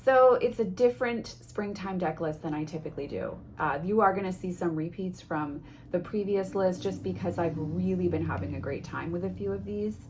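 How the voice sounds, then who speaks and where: A woman's voice: fairly low pitch, normal speed, few pauses, darkish tone, a little hiss or hum. A woman chatting casually and effusively to her viewers as a tarot vlogger, a little sniffly from allergies. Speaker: tarot content creator. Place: home.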